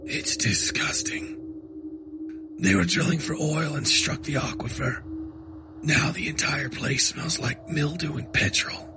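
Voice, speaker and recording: A man's voice: fairly high pitch, a little slow. A man voicing a weary, sardonic undead wanderer in a horror audio story, wry and laconic, with music underneath. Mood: wry disgust.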